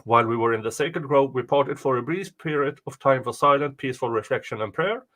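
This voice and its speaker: A man with a bright, forceful voice of average pitch takes the part of co-host. He reads written passages aloud and talks about them in a casual way. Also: a little fast. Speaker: podcast co-host reading a document aloud. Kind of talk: reading aloud with casual chat.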